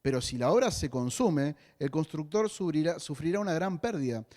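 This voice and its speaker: A man's voice: on the high side, lively intonation, normal speed, a little mellow. A man with a Rioplatense Spanish accent preaching a sermon as a pastor, in a conversational way with joking asides.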